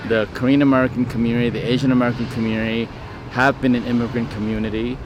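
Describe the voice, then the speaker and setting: A man explaining his views in a measured, explanatory way during an interview. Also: mid-range voice, little pitch movement, background noise. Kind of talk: interview commentary.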